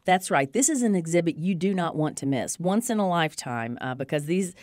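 A woman with a notably low voice presents community events on the radio, recorded very dry and close. She is conversational and informative, stressing her points like someone promoting them. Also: lively intonation, a little fast, darkish tone, no room sound.

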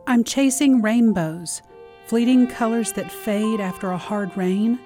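A woman poet reciting her own lyrical poem in a measured reading style. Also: a little high, warm tone, soft background music.

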